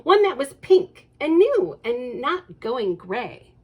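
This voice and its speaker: Figure: a woman reading as a fussing storybook mother. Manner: exclamatory, sing-song, highish. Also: very animated, slow, breathy edge, muffled recording, exaggerated voice. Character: fussy, dramatic mother.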